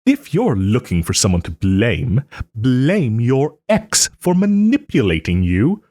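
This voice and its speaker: Male actor as a smug soft-hearted nobleman, refined, teasing, unhurried, expressive pitch swings, close dry sound, audio roleplay. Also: breathy edge, dry recording, heavily acted. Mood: indignant, haughty. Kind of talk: audio roleplay, dramatic monologue to listener.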